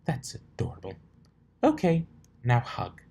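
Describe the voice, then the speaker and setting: Prim lady unicorn shopkeeper voiced by a man, bossy and scolding with some amusement, lifted pitch, noisy storybook recording. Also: huge pitch swings, a little slow, mellow. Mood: amused, bossy. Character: prim, bossy unicorn mare shop owner, stern but amused. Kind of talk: amateur storybook character reading.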